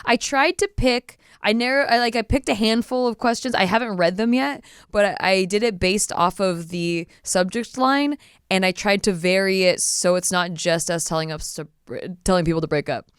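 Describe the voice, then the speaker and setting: Chatty woman podcast host, slightly raspy. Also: mid-range voice, moderate pace, dry recording, studio quality. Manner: chatty, laughs often. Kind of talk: casual podcast chat.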